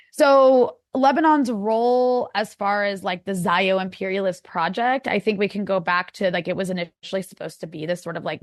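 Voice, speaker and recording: A woman's voice: medium pitch, no room sound, clean studio sound. A woman with an American accent, a guest in a casual interview, talking in a rambling way full of "like" and restarts. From United States. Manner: rambling, many likes and restarts.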